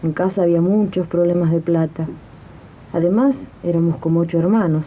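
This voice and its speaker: A woman's voice: steady tone, fairly quick, natural voice. A woman playing a domestic maid who confides her life story to listeners in a 1980s radio drama. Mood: confiding. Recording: muffled noisy archive radio tape, rumble.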